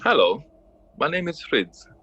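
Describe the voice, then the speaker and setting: An actor playing a jolly, courteous, flirty host in an audio play, with an inviting manner. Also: male voice, on the high side, very animated, measured pace, pushed and bright, slightly rough, muffled recording, heavily acted. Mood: welcoming, flirty. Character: jolly, courteous, flirty male host inside a magical painting.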